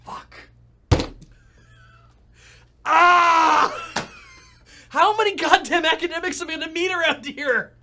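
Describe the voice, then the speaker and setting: Man in his 30s, joking streamer, bright voice, faint hiss behind. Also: very high voice, wildly swooping pitch, unhurried. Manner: joking, self-mocking. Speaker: streamer playing a game. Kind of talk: reading game dialogue aloud and chatting. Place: home desk indoors.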